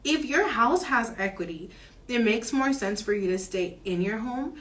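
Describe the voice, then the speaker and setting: A woman mortgage broker explaining home financing options in an earnest, steady advisory chat. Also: a little high, normal speed.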